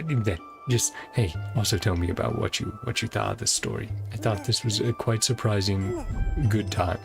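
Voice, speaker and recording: A man's voice: lowish voice, gravelly. A tired man chatting casually as a game streamer wrapping up, rambling and hesitant in an informal way. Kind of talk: casual stream outro chat. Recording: music playing behind voice. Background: music.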